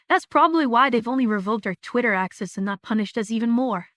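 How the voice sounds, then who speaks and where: A woman's voice: a little high, expressive, quick pace, a bit bright, dry recording. A woman playing a skeptical, level-headed young streamer in a scripted audio drama, dry and blunt. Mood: exasperated, warning.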